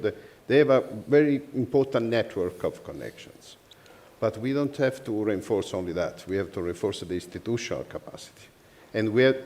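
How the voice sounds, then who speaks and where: A man's voice: on the low side, mellow. A man with an Italian accent speaking earnestly as a panelist in a forum discussion. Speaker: panelist, university network representative.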